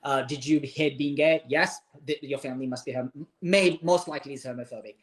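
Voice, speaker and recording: A man's voice: medium pitch, fairly even intonation, brisk. Earnest Pakistani man giving advice. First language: Urdu. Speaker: host of a live interview. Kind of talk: casual live-stream chat.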